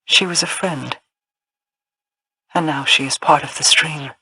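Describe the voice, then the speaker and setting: An actress playing a regal, solemn queen in a video game, speaking in formal, grave, measured phrases. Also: low-pitched, background noise. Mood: solemn, cryptic. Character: regal, solemn otherworldly queen, commanding and cryptic.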